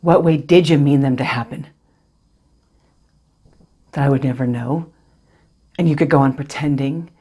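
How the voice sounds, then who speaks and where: A hurt, bitter wife acted by a woman confronts her husband in a stage monologue. Her voice is low and husky with a breathy edge. She is intense and accusing, yet keeps herself controlled. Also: normal speed, long pauses, mellow. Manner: intense, accusing, controlled, dropping to near-whispers.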